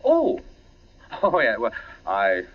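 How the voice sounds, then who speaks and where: A man's voice: mid-range voice, wildly swooping pitch, slow, exaggerated voice. A man acting a nervous, eager-to-please husband, flustered and jovial, in 1940s radio drama style. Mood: flustered, evasive. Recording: dull 1940s radio recording.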